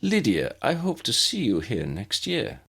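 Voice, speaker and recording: Man acting a charming Italian male professor, storybook character, theatrical, playful, courteous, very animated pitch, slightly husky grain. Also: unhurried, heavily acted. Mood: teasing. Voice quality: warm, smooth, musical.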